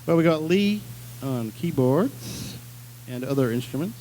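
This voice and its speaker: A man's voice: on the high side, expressive, measured pace, warm tone, a little hiss or hum, rough recording. A man from England leading a band, answering interview questions in a joking, self-deprecating way. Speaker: band leader. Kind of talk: casual interview.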